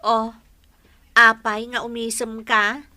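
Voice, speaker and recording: A nagging mother in an Ilocano radio drama. Her voice is very sharp and forceful and sits fairly high, full of suspicious scolding. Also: flat delivery, slow, full character voice. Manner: nagging, exclamatory.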